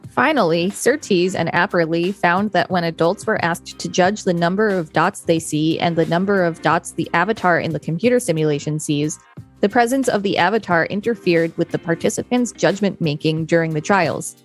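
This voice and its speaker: A woman with a slightly low voice co-hosts a podcast. She reads reference material aloud in a measured, explanatory manner. Music plays behind her. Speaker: podcast co-host. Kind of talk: reading an encyclopedia article aloud with brief chat.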